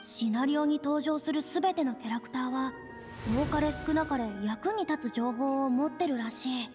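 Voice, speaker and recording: Woman voicing an earnest, high-pitched young noblewoman. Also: moderate pace, close to an everyday voice. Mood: suggesting. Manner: boyish phrasing, earnest. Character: theatrical young noblewoman, boyish speech, earnest aspiring artist. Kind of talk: anime game acting. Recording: music behind the voice.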